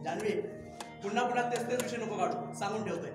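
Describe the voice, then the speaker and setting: A man's voice: high voice, quick pace, close to an everyday voice. A man playing an exasperated husband and loyal younger brother in a melodramatic domestic drama, pleading and reproving. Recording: echoey hall, distant mic, music.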